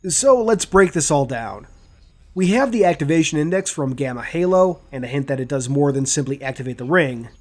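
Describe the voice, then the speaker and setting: A man's voice: fairly high pitch, expressive, fairly quick, a little mellow. A man hosting a scripted commentary on video game lore.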